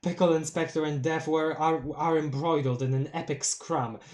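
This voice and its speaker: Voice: medium pitch, fairly even intonation, moderate pace, a little mellow. A streamer from Poland with a light Polish accent and Polish as first language, chatting casually on a live stream while reading a webcomic aloud, giggling often and stumbling over long words.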